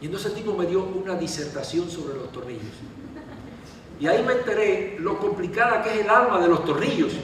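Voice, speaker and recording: A man's voice: high-pitched, expressive, fairly quick, fairly bright tone. A man from Cuba, a writer, telling a self-deprecating anecdote and answering questions at a literary gathering. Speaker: author. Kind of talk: storytelling talk and answering questions. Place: large event hall. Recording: echoey hall from far off, faint noise.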